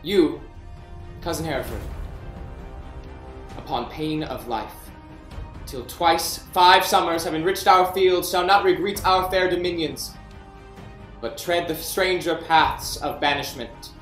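A proud young king who measures out every line of verse slowly. The delivery is regal and stately. It is picked up by a webcam in a room with noticeable echo. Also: fairly high pitch, fairly bright tone, faint music behind. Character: proud young male king passing judgment. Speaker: actor. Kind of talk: staged Shakespeare reading. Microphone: webcam on a video call. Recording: echoey room.

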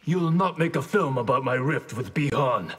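An actor playing a stern, honour-bound ninja grandmaster in a video game, with a husky voice and formal, solemn manner. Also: male voice, medium pitch, expressive, normal speed. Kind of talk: video game fight intro dialogue.

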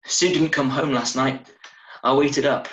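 A man's voice: medium pitch, fairly even intonation, a little slow, fairly bright tone, far from the mic, a little hiss or hum, voice pushed up, close to an everyday voice. A man voicing a grieving, dazed woman in a short story read aloud. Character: grieving, dazed woman searching for her sister or friend. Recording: roomy, echoey video-call sound.

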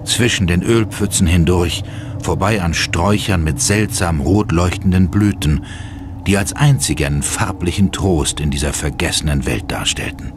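A man's voice: low-pitched, normal speed, noisy background. A man giving dramatic scripted narration for a German horror audio drama. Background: music.

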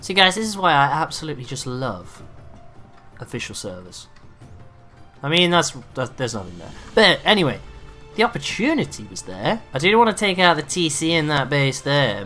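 Bright-voiced raspy gamer man. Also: medium pitch. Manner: chatty, excitable, swears casually. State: raspy throat from a cough. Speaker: gaming video creator. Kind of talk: live gameplay commentary.

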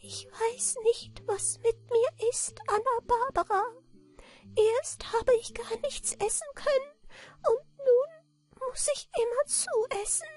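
A woman voicing a tiny old little man from a fairy tale, with a thin, squeaky, plaintive voice. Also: slow, a little mellow. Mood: weak, wailing.

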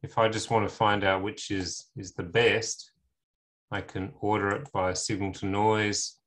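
Middle-aged man, lowish voice, informal explanatory lecturer, pausing often, screen-demo tutorial. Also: flat delivery, fairly bright tone. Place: indoors, on a video call.

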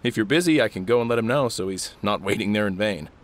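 A man's voice: lowish voice, fast talker, natural voice. A man playing a dutiful, respectful soldier in a video game, polite and matter-of-fact. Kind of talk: scripted video game voice acting.

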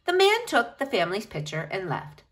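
A woman reads a storybook aloud for young children in a bright, high voice. Her delivery is lively and expressive, swinging up and down in pitch as she addresses her listeners directly. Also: moderate pace. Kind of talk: expressive picture-book read-aloud for children.

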